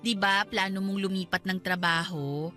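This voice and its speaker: A woman's voice: medium pitch, fairly even intonation, normal speed, bright, forceful tone, faint music behind, natural voice. A woman playing a hurt, jealous wife in a Tagalog radio drama, nagging and pleading with emotion. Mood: irritated, suspicious. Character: hurt, jealous young wife confronting her husband.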